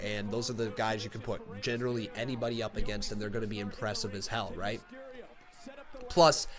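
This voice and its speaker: A man's voice, thick and plugged-up from a cold, running a casual live reaction stream. He talks loosely and thinks aloud, then breaks into excited play-by-play calls. His pitch sits in an ordinary middle range. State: congested from a cold.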